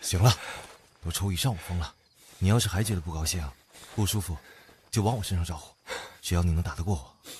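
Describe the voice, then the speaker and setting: A man playing a steady, protective older brother and boyfriend in an audio drama, calm, firm and coaxing. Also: low voice, fast, long pauses, a little hiss or hum, close to an everyday voice. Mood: firm, placating. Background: background music.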